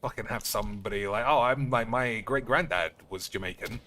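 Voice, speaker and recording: A man's voice: lowish voice, a little fast, pushed and bright. A man giving casual, rambling gameplay commentary, swearing and wryly talking to himself. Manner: sweary, wry muttering to himself. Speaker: game streamer. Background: video game sounds.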